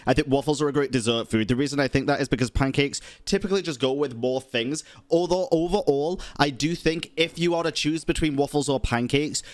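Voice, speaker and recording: A man streaming casual game reactions with slangy, sweary banter. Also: a little high.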